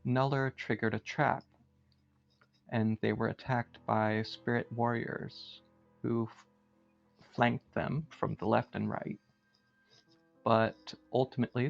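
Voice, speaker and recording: A man playing a tabletop game, recapping the story in a measured way and correcting himself as he goes. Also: lowish voice, gravelly, lo-fi sound. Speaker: player.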